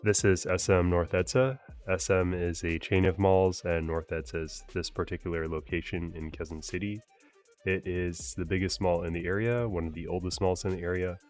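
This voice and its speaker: A man talking casually as a travel vlogger, relaxed and chatty with frequent fillers. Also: low voice, normal speed, faint music behind. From United States. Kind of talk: casual travel vlog narration.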